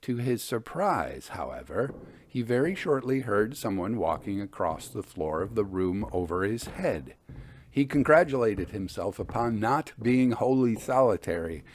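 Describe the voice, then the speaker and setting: A man hosting a storytelling show, reading scripted narration and old ghost tales in a measured, deliberate way. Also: fairly low pitch, darkish tone, a little hiss or hum. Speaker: podcast host and storyteller.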